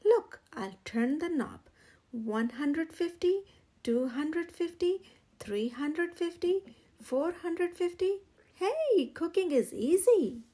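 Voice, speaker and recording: A woman's voice: very high voice, lively intonation, takes it slowly, warm tone, heavily acted. A woman voicing an eager, clueless iguana helping in a storybook kitchen, exclaiming keenly.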